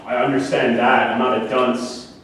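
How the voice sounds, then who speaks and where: Man acting out a touchy delusional middle-aged guy on trial, flirty and halting, stage play, really echoey room. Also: mid-range voice, little pitch movement, fairly bright tone, natural voice. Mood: defensive. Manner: halting, needling, indignant when challenged. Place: live staged reading in an echoing room. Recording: echoey room, distant mic, background hiss.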